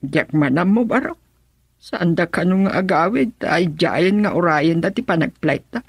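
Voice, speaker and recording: A woman's voice: on the low side, animated, measured pace, vocal fry, husky, no echo, clean studio sound, full character voice. An actress playing a humble, worried village woman in a Filipino radio drama, speaking with a Filipino accent. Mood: earnest.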